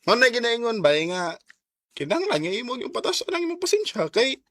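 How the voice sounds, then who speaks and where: A Cebuano Filipino buddy in a radio drama, familiar and teasing. His voice is high and bright, and it swings in a very lively way. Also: brisk, no echo, studio quality, heavily acted. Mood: advising. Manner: animated, familiar. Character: male buddy, teasing and advice-giving friend. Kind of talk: Bisaya radio drama acting.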